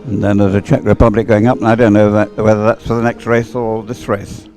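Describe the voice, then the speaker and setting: A man commentates live on a race in a mellow, gravelly voice. He rambles and hesitates, grumbling dryly as he goes. Faint event music plays behind him. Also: fairly low pitch, flat delivery, brisk. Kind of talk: unscripted sports event commentary. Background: music playing over event sound system.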